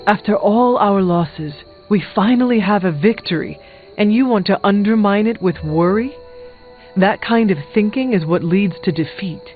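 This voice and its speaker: An actor playing a steadfast, stern warrior hero in a video game, speaking in a plain, formal, resolute way. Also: male voice, sits high, moderate pace, phone-quality sound, natural voice. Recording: band-limited game audio with background music.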